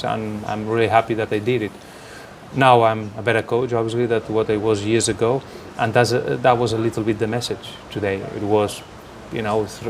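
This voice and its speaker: A fairly low-voiced man, answering questions earnestly in slightly broken English. He often restarts his sentences. An air conditioner hums clearly behind him. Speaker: national team assistant coach, guest. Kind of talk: interview answers. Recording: background noise from air conditioning.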